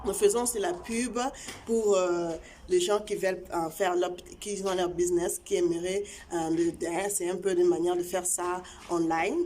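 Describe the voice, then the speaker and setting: Halting woman host. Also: on the low side, fairly even intonation, mellow, a little hiss or hum. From Congo (DRC). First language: Tshiluba. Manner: halting, many repeated words and fillers. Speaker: channel host. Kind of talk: casual chat to viewers.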